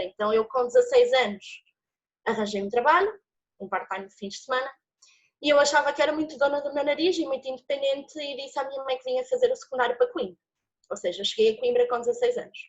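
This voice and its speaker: Young woman, Portuguese accent, fairly high voice, expressive student testimonial on dull lo-fi audio. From born in São Paulo, Brazil, living in Portugal since age nine. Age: 20s. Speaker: student speaker giving a testimonial. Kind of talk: personal testimony with encouraging advice.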